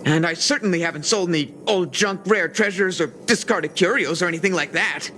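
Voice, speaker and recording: A man's voice: sits high, a little fast, background noise, natural voice. A man playing a shifty, defensive space station worker in a game, suspicious and grumbling as he talks. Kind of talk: scripted game dialogue. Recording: music playing behind the voice.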